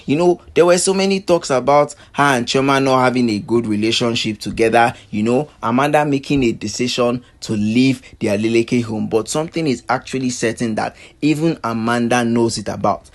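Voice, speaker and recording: A man with a mid-pitched voice presents celebrity gossip commentary as a channel host. He speaks in an emphatic, repetitive way, restating each point and posing rhetorical questions.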